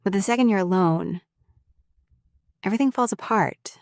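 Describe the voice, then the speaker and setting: A woman voicing a sharp-tongued young woman, a bully who scolds and worries over someone who has fainted. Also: mid-range voice, moderate pace, no echo, clean studio sound, natural voice. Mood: worried scolding. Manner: scolding and blunt, then anxious and tender. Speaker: voice actor in an audio roleplay. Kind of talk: scripted audio roleplay.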